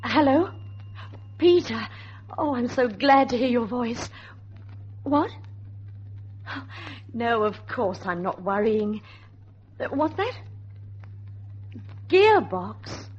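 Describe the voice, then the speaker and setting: A woman's voice: fairly high pitch, sing-song, slow pace, pauses often, slightly rough, heavily acted. An actress playing an anxious, impatient woman in an old-time radio drama, speaking with urgent, exasperated emphasis. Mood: anxious, relieved. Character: Mary, anxious, impatient younger woman worrying over her man. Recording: muffled, noisy old radio recording with hum.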